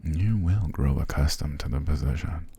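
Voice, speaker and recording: A man playing a possessive, seductive vampire master in an ASMR roleplay, calm and commanding, slow and formal, often sinking to a gravelly whisper. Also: very deep voice, no echo, full character voice. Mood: commanding, reassuring. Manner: slow, formal, soothing but controlling.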